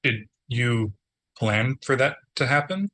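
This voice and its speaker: Actor as a bright-voiced, deadpan, dejected man. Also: mid-range voice. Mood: wry, unsettled. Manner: deadpan, halting, wry. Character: dejected, sardonic man down on his luck. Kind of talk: script table read, naturalistic acting.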